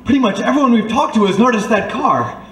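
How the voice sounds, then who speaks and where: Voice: medium pitch, lively intonation, fairly quick, close to an everyday voice. An actor playing a private eye's loyal, practical sidekick in an old-time radio detective drama, matter-of-fact and cautioning. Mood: practical, concerned. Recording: roomy, distant recording with faint noise.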